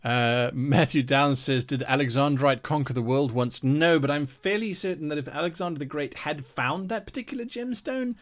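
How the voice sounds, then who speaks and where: A man's voice: a little high, moderate pace, hardly any pauses. A man hosting a live worldbuilding stream, chatting casually and reading out viewer comments in an enthusiastic, playful way.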